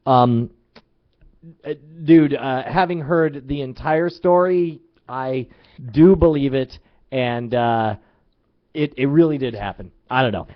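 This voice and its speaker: A man's voice: medium pitch, slow pace. Lo-fi laughing male talk-show host. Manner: laughs often, stumbling fillers. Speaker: podcast host. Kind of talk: casual live-show banter. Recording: lo-fi live stream audio.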